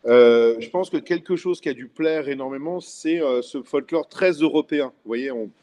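A man's voice: mid-range voice, moderate pace, darkish tone. A middle-aged man speaking as a guest on a video call, thoughtful and enthusiastic, with frequent fillers. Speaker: guest, former local culture official. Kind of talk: casual video call discussion. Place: indoor room at home. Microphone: laptop webcam video call.

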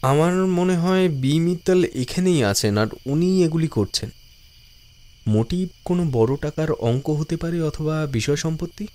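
An actor playing a sensitive, tentative sidekick to a detective in an audio drama. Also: male voice, fairly high pitch, animated, a little slow, a little mellow. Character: hesitant, sensitive young male detective's sidekick.

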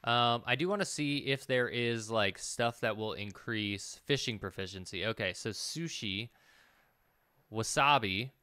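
A man's voice: fairly low pitch, unhurried, sharp tone, smooth, no echo, clean studio sound. A man in his thirties giving casual gameplay commentary, rambling and thinking aloud as he plays. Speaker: let's play host. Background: game music.

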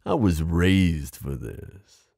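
Man acting a deep, slow-talking mafia boss. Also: sing-song, gravelly, dark tone, no echo, studio quality, heavily acted. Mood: controlled, teasing. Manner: slow, teasing drawl addressing the listener with pet names. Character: smooth, possessive male mafia boss, menacing yet protective. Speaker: audio roleplay performer. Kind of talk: ASMR roleplay drama acting.

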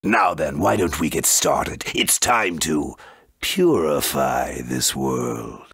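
A man playing a grandiose, menacing villain in a video game, bent on wiping out the world, with a gravelly, theatrical delivery. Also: a bass voice, animated, slow pace, heavy background noise, heavily acted. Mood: ominous.

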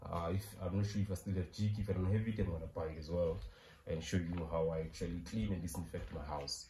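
Chatty South African farmer, low voice. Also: male voice, steady tone, quick pace, background noise. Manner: chatty, joking, talks straight to viewers. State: out of breath from work. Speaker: poultry farmer vlogger. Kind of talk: casual vlog narration. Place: inside a car and a farm shed. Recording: music playing clearly behind.

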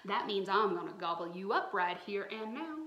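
A woman voicing a greedy, slithery male swamp monster from a storybook, loud and bullying in a folksy way. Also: mid-range voice, lively intonation, normal speed, muffled recording, close to an everyday voice. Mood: hungry, menacing. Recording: roomy echo, some background noise.

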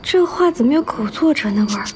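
A young actress playing a playful, stubborn young food lover in a TV romance drama. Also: female voice, a little high, animated, fast, music in the background, natural voice. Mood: eager, coaxing. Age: young adult.

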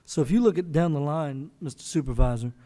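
A man's voice: on the high side, warm tone. A man chairing a county council meeting, asking pointed budget questions through a desk mic in a large chamber. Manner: deliberate, questioning. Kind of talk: public meeting discussion.

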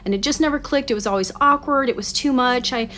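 A woman in her late forties from Southern California talks straight into a phone camera in a small home studio. Her voice sits a bit high, and her chat is lively and self-mocking. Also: quick pace. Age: 40s. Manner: chatty, animated, self-mocking. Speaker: songwriter vlogger. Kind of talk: casual talk to camera. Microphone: phone camera at desk distance.

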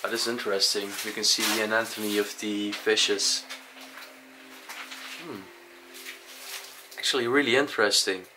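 A young man casually exploring a dark abandoned aquarium, curious and describing what he finds, with short laughs. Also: on the low side, steady tone, normal speed, pauses often, noisy background. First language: Dutch. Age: young adult. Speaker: urban explorer.

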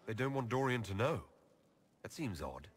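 A man voicing a level-headed, dryly teasing elf leader in a video game, with a husky voice and measured delivery. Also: medium pitch, sing-song. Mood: wary, questioning. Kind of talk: video game dialogue acting.

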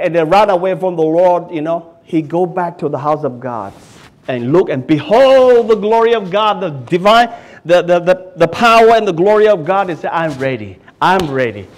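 A middle-aged man preaching at a lectern in a small church, swinging between loud cries and hushed prayer. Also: high voice. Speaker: pastor. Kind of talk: sermon. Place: small church hall, indoors. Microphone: stand mic at a lectern.

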